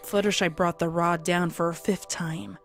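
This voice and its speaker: A woman's voice: lowish voice, fairly even intonation, normal speed. A woman narrating a scripted dramatic story. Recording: prominent music under narration.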